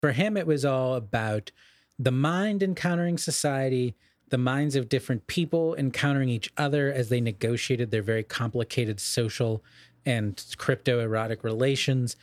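A man with a mid-pitched voice co-hosts a podcast in a very dry, close-sounding recording. He talks casually but like a scholar, unspooling ideas at length and revising his phrasing along the way. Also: normal speed, dry recording. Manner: discursive, scholarly, self-correcting. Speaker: podcast co-host. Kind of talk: casual literary discussion.

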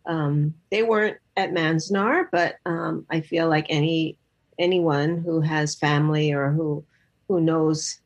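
A woman film director speaking in a podcast interview, talking thoughtfully with many pauses, fillers and restarts. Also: deep voice, steady tone, highs cut off. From Los Angeles.

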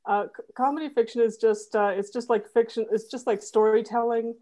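A woman with a mellow, darker voice, speaking as a podcast guest. She explains her comedy work earnestly and rambles a little, filling pauses with fillers. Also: fairly high pitch, steady tone. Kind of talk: casual interview.

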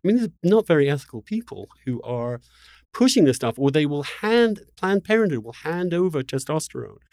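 A male interview host in the thick of a debate. His pitch moves around a great deal as he talks. He is insistent but halting, repeating words as he builds his point, and the sound is very dry. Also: sing-song, a little mellow, no room sound.

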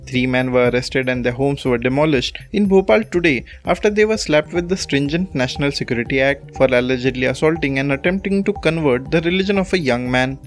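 A man's voice: mid-range voice, lively intonation, brisk, few pauses. Indian-accented man reading news over music. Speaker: news presenter. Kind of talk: scripted news bulletin reading.

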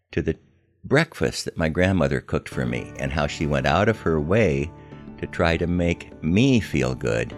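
Older male storyteller, extremely low pitch, creaky voice, wry conversational delivery. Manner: wry, self-deprecating humor. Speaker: podcast host telling personal stories. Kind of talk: conversational first-person storytelling.

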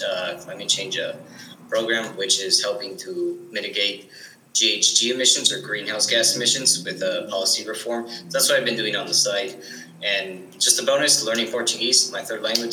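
A man's voice: lowish voice, sharp tone, echoey room, slight background noise, poor audio. A teenage boy answering interview questions eagerly, with frequent restarts and fillers. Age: teens. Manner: eager, restarts often, many likes and ums. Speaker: guest.

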